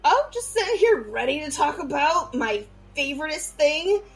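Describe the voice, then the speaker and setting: High-pitched bubbly woman, 40s, gushes and rambles on a podcast. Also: very animated, normal speed, soft background music. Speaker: podcast co-host. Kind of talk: casual podcast chat.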